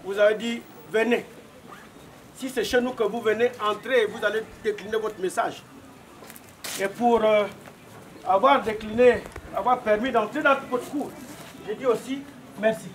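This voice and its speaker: High-voiced Gabonese man, formal and polite. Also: fairly even intonation, normal speed, long pauses, slight background noise, poor audio. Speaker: spokesman for a visiting wedding delegation. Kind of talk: formal ceremonial speech. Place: outdoor courtyard.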